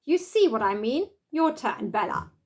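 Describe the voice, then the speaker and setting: A woman's voice: on the high side, sing-song, normal speed. A woman voicing an eager, playful child in a children's storybook. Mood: excited, playful.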